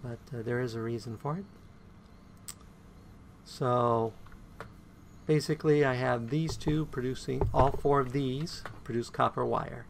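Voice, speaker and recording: Gravelly-voiced man with a croaky voice. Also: medium pitch, fairly even intonation, measured pace, pauses often, slight background noise. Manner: counts items aloud and hums to himself. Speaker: game streamer. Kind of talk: casual gameplay commentary.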